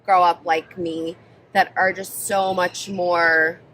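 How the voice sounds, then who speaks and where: A woman's voice: fairly low pitch, little pitch movement, takes it slowly, fairly bright tone, a little hiss or hum, low-quality recording. An American woman speaking as a guest in a casual interview, explaining things in an informal, chatty way. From born in Israel, grew up mostly in New Jersey, US.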